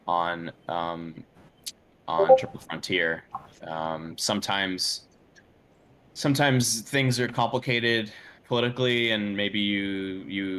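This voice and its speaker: Male composer as interview guest, low voice, loose conversational delivery, rumbly noise on the recording. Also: a bit bright.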